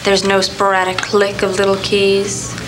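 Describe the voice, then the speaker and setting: An actress playing a talking typewriter that needles and accuses in a sardonic, measured radio play delivery. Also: female voice, mid-range voice, monotone, over music, close to an everyday voice. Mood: cold, accusing. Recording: noisy SD analog film transfer, constant hum.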